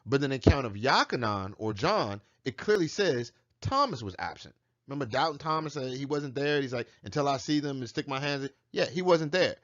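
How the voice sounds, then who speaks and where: A man's voice: mid-range voice, no echo. A man teaching on scripture in a monologue, arguing his point with rhetorical questions. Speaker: religious teacher. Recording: dull lo-fi dry recording.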